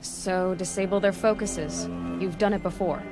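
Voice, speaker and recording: A woman's voice: medium pitch, fairly even intonation, normal speed, close to an everyday voice. An actress playing a headstrong, sardonic young woman warrior in a dramatic video game. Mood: wry, determined. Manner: blunt, sardonic, questioning. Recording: loud music behind the voice.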